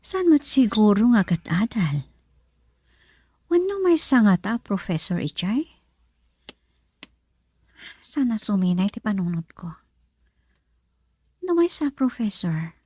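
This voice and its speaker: A woman's voice: medium pitch, very animated, takes it slowly, long pauses, darkish tone, husky, no room sound, exaggerated voice. An actress playing a young female student who wonders aloud to herself in a radio drama. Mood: musing. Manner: musing, questioning to herself.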